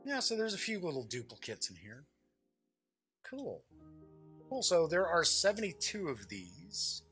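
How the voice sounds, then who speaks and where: A man with a bright, forward voice talks through an unboxing off the cuff. His pitch moves around in a lively way as he chats, jokes and mutters little asides to himself. Faint music sits behind him. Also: a little slow. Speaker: host of a tabletop game review show. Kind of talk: casual off-the-cuff unboxing commentary.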